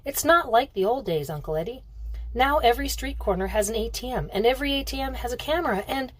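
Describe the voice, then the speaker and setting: A woman's voice: a little high, lively intonation, quick pace, voice pushed up. Woman reading as earnest, worried teenage girl. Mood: worried, pleading. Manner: earnest, pleading. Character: worried, caring teenage girl, thief's great-niece.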